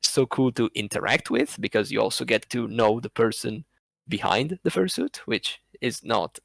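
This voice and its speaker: A man as a podcast guest, telling a story in a rambling, animated way with laughs between phrases. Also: mid-range voice, normal speed, gravelly, dry recording. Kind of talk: casual podcast chat.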